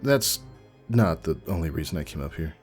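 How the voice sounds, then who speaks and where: A man's voice: very deep voice, expressive, normal speed, darkish tone, faint music behind, voice pushed down. A man voicing a nervous young stallion, an earnest worried friend who stammers and pleads. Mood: hesitant. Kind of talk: fan audio drama acting.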